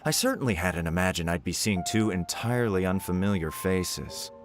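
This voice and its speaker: An actor playing a pompous, condescending official in a video game, speaking in a haughty, patronizing way. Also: male voice, low voice, expressive, normal speed. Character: pompous male job candidate, condescending official. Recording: game music behind the voice.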